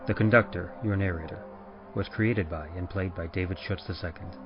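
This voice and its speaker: A man, the podcast's host and narrator, reading production credits in a measured, even announcer style. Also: sits low. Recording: dull lo-fi with music behind.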